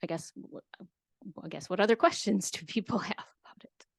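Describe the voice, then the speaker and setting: A woman who works as an extension educator, explaining a program to a county committee in a hesitant way, with many filler pauses. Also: mid-range voice, vocal fry, breathy edge, no room sound, studio quality. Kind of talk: committee meeting presentation.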